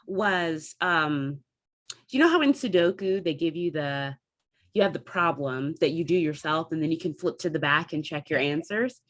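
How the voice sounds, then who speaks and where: A woman speaking casually as a panel guest, telling things in an animated, conversational way. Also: deep voice, moderate pace. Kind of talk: casual chat.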